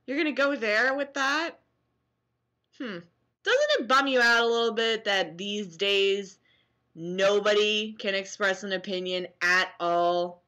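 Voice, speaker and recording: A young American woman reacting casually to comments she reads aloud, sarcastic and animated, at home on a webcam mic. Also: medium pitch, measured pace. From New Jersey, US. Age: young adult. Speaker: vlogger. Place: small room at home, indoors.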